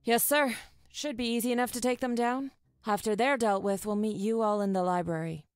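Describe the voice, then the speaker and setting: A man voicing an eager, confident female soldier creature in a visual novel, with a brisk, obedient manner. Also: sits high, steady tone, clear, resonant tone, no echo, studio quality, voice pushed up, lightly acted. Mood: eager confidence.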